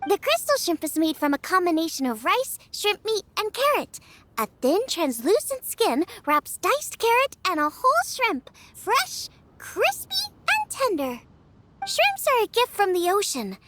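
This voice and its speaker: A woman's voice: extremely high pitch, huge pitch swings, measured pace, fairly bright tone, slight background noise, full character voice. A woman voicing an earnest, encouraging young girl chef, a cheerful video game character. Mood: proud, presenting.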